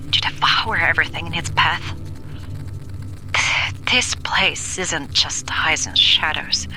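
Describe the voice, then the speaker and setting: A woman's voice: low-pitched, bright, forceful tone, full character voice. An actress playing a frightened 1940s woman doctor who records a grave, urgent warning in an audio drama, often dropping into whispers. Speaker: expedition demonologist. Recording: noisy old reel-to-reel tape recording.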